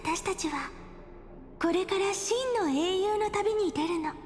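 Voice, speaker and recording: Casting: gentle young game heroine, very high voice, wistful yet resolute, long reverb. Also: female voice, fairly quick. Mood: tender, wistful. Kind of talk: Japanese anime game voice acting. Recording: long reverb, music under the voice. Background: game music.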